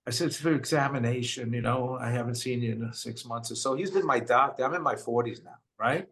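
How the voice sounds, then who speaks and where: An older man with a New York accent earnestly telling his own story, often quoting what others said to him. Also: brisk. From Brooklyn, New York. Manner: earnest, retells dialogue in quotes, voice drops low and quiet at hard moments. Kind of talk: personal storytelling.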